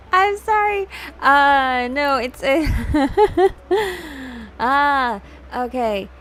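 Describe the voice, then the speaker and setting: High-pitched woman, scattered livestream chatter. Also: lively intonation, drawn-out words, a bit bright. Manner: scattered, repeats words and restarts often; switches between English and Hindi mid-talk. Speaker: livestream host, VTuber. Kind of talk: casual livestream chat with viewers.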